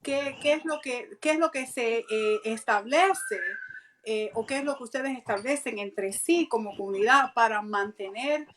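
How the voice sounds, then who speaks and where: A woman's voice: fairly high pitch, a little slow, fairly bright tone, slight background noise. A woman hosting a live radio interview from a mosque, hesitant, with many fillers and restarts. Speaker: radio host.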